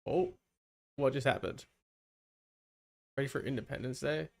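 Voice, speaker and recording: A man's voice: mid-range voice, wildly swooping pitch, measured pace, creaky. A man chatting casually on a game livestream, thinking aloud and muttering to himself. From Canada. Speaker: game livestreamer using an avatar.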